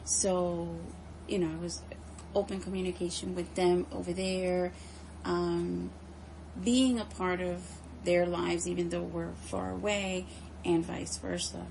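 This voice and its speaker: Slow, creaky-voiced woman in an interview. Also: fairly low pitch, flat delivery, darkish tone, slight background noise, poor audio. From United States. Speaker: interviewee, home care nurse. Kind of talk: conversational interview answers.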